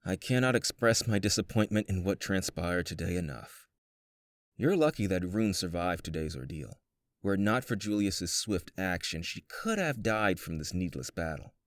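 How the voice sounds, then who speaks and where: Male actor, stern creature guild official, lowish voice, formal scolding, exasperated, dry close sound, comic dub. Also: big pitch swings, normal speed, no room sound. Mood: stern, disappointed. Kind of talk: animated comic dub acting.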